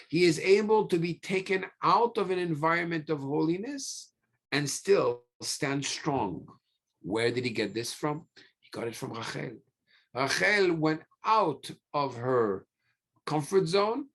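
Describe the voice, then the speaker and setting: A man preaching as a rabbi, emphatic, with frequent pauses, repeated phrases and rhetorical questions. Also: on the high side, expressive, a bit bright. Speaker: rabbi giving a religious lecture. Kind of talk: sermon, teaching through scripture stories.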